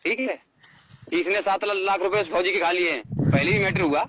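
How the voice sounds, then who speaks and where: A man's voice: high-pitched, moderate pace. A man with a rural North Indian Hindi accent, speaking insistently and repeating himself as he airs a personal grievance over the phone. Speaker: phone caller. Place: recorded phone call. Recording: muffled phone call with hiss and clicks.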